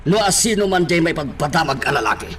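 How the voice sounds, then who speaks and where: Domineering boss with swooping delivery. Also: male voice, a little high, lively intonation, fast, a bit bright, soft background music. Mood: stern, probing. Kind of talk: radio drama acting.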